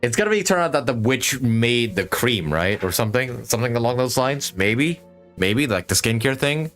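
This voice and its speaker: A woman with an unusually low voice streams a game. She muses aloud in casual asides, her pitch moving around freely. Soft game music runs underneath. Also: lively intonation, normal speed. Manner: musing aloud in short asides. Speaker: game livestreamer. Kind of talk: casual reactions while playing a game.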